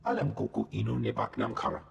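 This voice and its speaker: An actor voicing a gruff alien general in a video game, speaking an invented tongue in a gravelly rasp. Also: male voice, on the low side, level tone, quick pace, dull audio, slight background noise. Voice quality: gravelly, rasping. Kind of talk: cinematic video game creature voice. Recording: long hall reverb.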